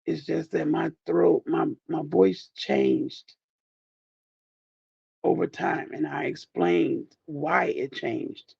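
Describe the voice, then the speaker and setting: A grieving man with a groggy, deep voice, talking in a rambling, heartfelt way as he thanks his viewers in a casual chat. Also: fairly even intonation, takes it slowly, creaky, breathy edge, no echo, dull audio. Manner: soft-spoken, rambling, heartfelt. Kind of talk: casual direct-to-camera chat.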